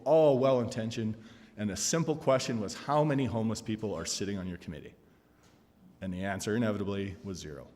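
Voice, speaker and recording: Man in his forties in a hall. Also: fairly low pitch. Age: 40s. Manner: self-deprecating, animated storytelling. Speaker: conference panelist. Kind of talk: conference talk with humorous story. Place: conference hall. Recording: long hall reverb.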